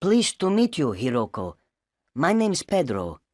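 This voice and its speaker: A woman with a low voice speaks simple scripted introductions, slow and careful. Her pitch swings widely, and the recording is dry. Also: sing-song, darkish tone, dry recording. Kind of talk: scripted learner dialogue.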